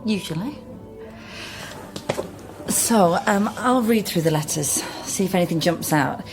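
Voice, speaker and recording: An actress playing a wry, solitary British genealogist in a naturalistic radio drama, with a dry, matter-of-fact way of talking. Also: female voice, on the low side, expressive, a little slow. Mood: wry, businesslike. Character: wry, solitary female genealogist, brisk and self-mocking. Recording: music under studio radio drama.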